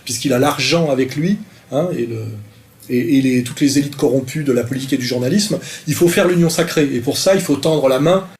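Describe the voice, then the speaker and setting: A man's voice: mid-range voice, brisk, a little mellow, a little hiss or hum. A man speaking casually, loose and full of fillers, often repeating the same catchphrase. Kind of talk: casual speech to camera.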